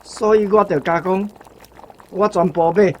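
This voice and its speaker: A man's voice: sits high, fairly even intonation, measured pace, darkish tone, a little hiss or hum. An actor playing a frugal, folksy old grandfather with a Taiwanese accent in a radio drama, speaking in plain, homely words. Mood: proud, matter-of-fact. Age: older.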